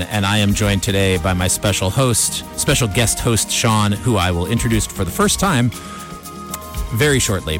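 A man hosting a radio show in a casual, rambling, easygoing way. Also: mid-range voice. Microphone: studio radio microphone. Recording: music behind the voice. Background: music.

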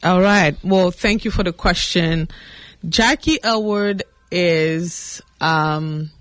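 A woman from the Democratic Republic of Congo whose first language is French, answering radio interview questions earnestly with many pauses and fillers. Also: fairly low pitch, dry recording. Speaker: political candidate guest. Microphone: studio mic.

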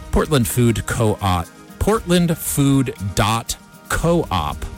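A man with a fairly low voice hosts a radio show over music. His delivery is casual and easygoing, and he tends to ramble as he chats. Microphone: studio radio microphone. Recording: music behind the voice.

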